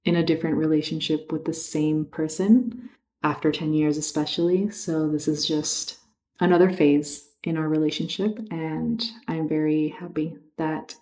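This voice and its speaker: Female vlogger, native Spanish speaker, tired and mellow, fairly flat delivery, casual thoughtful chat. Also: deep voice, normal speed, low-quality recording. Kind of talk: casual reflective chat to camera.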